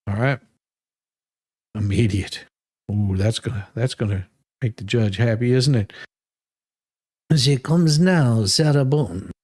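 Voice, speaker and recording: A man's voice: fairly high pitch, darkish tone. A man hosting a livestream, reading a legal document aloud in a dramatic, sarcastic manner with mocking asides. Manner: sarcastic, stumbles over long legal words. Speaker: livestream host.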